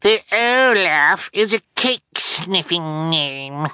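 A man's voice: high-pitched, expressive, slow pace, pushed and bright, clean tone, no room sound, clean studio sound, pitched above the performer's own voice, exaggerated voice. A man voicing a rude, spoiled little girl in an audiobook, conceited and scornful. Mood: scornful, bratty. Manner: scornful, sneering.

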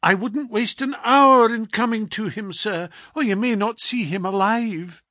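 A man's voice: high-pitched, a little slow, sharp tone, no echo, clean studio sound, voice pushed up. A man voicing an anxious, pleading elderly landlady who speaks urgently.

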